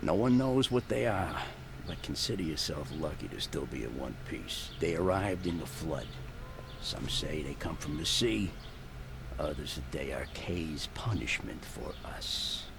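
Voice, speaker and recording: A gruff, wary waterfront bartender, speaking very low with a heavy gravelly rasp. It is video game dialogue with clear noise behind it. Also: male voice, very animated, measured pace, heavily acted. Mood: gruff, grim.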